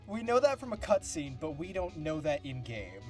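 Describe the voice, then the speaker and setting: A man's voice: fairly high pitch, very animated, normal speed. A man hosting a live fandub stream with casual gaming banter over game music. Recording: music behind.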